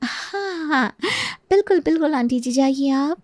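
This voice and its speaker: Entitled young wife for cartoon fable: high voice, lazy, breezy, complaining manner. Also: female voice, animated, unhurried, darkish tone, no room sound, clean studio sound. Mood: agreeable. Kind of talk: Hindi animated moral story acting.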